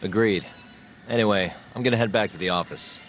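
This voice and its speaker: Casting: male actor as skeptical private eye, thirties, low voice, curt game dialogue over clear music. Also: a little fast, a bit bright, natural voice. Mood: dry. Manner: curt, questioning. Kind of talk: naturalistic video game dialogue.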